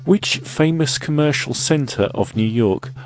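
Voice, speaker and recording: A man hosting an audio quiz podcast, reading the questions in a measured way, his voice a little croaky from a cold. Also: fairly high pitch, lively intonation, a little mellow, faint music behind. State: has a cold, slightly croaky. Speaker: quiz host.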